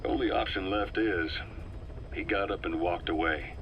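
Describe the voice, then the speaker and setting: An actor playing a wary, brooding veteran intelligence operative, giving briefings in a measured, grave manner for a game. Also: male voice, deep voice, dull audio, a little hiss or hum. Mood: uneasy, skeptical. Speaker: briefing officer. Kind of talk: dramatic game briefing dialogue.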